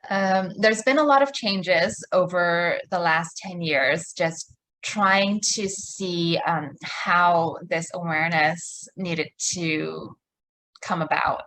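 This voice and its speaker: A woman in her forties from Malaysia speaks in an unhurried, reflective way, pausing often to find her words. Her voice sits a little low and she never rushes. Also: fairly bright tone, low-quality recording. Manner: slow, thoughtful, with pauses and fillers. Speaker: guest, co-creator of a womb garden. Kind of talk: podcast interview, reflective storytelling.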